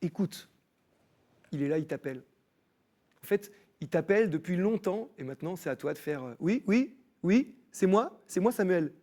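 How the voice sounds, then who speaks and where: A man with a slightly high voice tells his faith story as a studio guest. He slips into the voices of people he quotes. His delivery is animated. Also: moderate pace. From France. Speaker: guest, musician. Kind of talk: personal faith testimony. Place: TV studio set.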